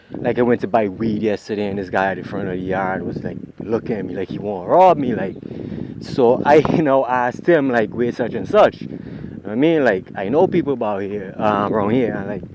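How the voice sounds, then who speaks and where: A man's voice: on the low side, normal speed. A man rambling in an agitated, profane monologue while walking outdoors on a city street. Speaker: vlogger. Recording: street traffic noise behind.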